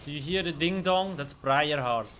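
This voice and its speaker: A man's voice: fairly high pitch, moderate pace, noisy background. A man whose first language is Swiss German, streaming a game with loose, profane commentary and muttered reactions between chat. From Switzerland. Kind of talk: casual gameplay commentary.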